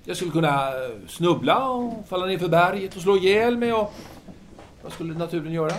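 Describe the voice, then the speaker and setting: Guy acting a talkative 1930s philosopher, slightly high voice, halting n formal, audio drama, faint hiss of noise. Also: lively intonation. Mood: brooding. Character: brooding, talkative male philosopher in 1930s Paris.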